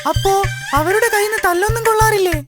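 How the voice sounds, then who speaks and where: A very high, childlike cartoon voice from a woman, playing a restless little boy elephant. He grumbles and complains but stays obedient. Loud music plays behind him. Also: fairly even intonation, very fast. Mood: hesitant, curious.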